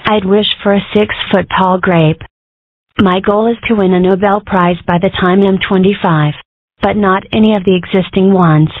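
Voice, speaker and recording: A woman voicing an excitable, guilt-ridden young girl fox in a cartoon comic dub. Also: mid-range voice, normal speed, dry recording, lightly acted. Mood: matter-of-fact. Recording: thin, band-limited, phone-like sound.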